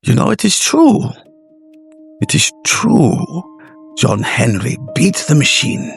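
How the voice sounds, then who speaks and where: A man voicing a proud, fervent middle-aged inventor professor with halting, deliberate phrasing in a gothic audio drama. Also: on the high side, wildly swooping pitch, gravelly, soft background music, voice pushed up, full character voice. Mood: sly, relishing.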